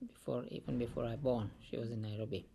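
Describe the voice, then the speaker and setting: A man, recalling his life story as an oral history interviewee, speaking haltingly with many pauses and fillers. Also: mid-range voice, steady tone, creaky, mellow, slight background noise. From India. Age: 50s.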